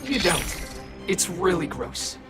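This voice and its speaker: A man's voice: high-pitched, sing-song, slow pace, heavily acted. An actor playing a casual, wry teenage male superhero trading laid-back banter in a video game. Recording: game dialogue over music.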